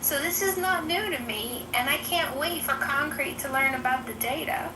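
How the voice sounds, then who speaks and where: A woman in a voice-chat debate who lays out her point in a measured way and cites a study. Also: high voice. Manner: measured, cites data, dismissive. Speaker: debate participant. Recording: echoey, distant, noisy playback.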